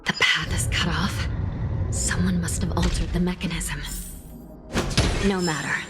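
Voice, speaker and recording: An actress playing a stern female prison judge in a video game, formal, measured and authoritative. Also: fairly low pitch, steady tone, over loud music, close to an everyday voice. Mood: composed, serious. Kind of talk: dramatic video game acting.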